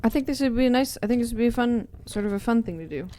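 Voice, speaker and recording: A woman's voice: a little high, expressive, very fast, mellow. A woman playing a tabletop game, chatting casually in character with the group. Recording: faint background room noise.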